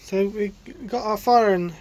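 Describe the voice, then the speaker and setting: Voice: mid-range voice, a little slow. Chatty vlogger losing their voice. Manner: chatty, relaxed, many fillers and restarts. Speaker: van conversion vlogger. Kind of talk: casual vlog narration. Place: inside a small campervan.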